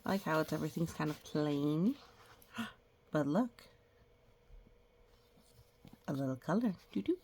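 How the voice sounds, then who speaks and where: A woman reading a children's picture book aloud, with playful sound effects and casual, gentle explanatory asides. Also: deep voice, animated, a little slow, pauses often, a little hiss or hum. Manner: explains gently to young listeners.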